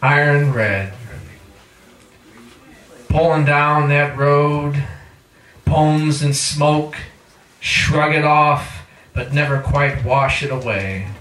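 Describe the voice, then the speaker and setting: A man reading his own poetry aloud in a theatrical spoken-word style, with dramatic chanting, drawn-out phrases and cries. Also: fairly high pitch, fairly bright tone. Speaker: poet reading own work. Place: indoor live reading at a cafe. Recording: distant mic in echoey room.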